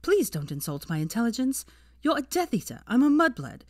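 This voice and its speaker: A woman's voice: on the high side, sing-song, fairly quick, no echo, clean studio sound, pitched above the performer's own voice. A woman voicing an indignant, combative young witch who snaps and sneers, in an audiobook style story reading. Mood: angry, snapping. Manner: snapping, sneering, terse.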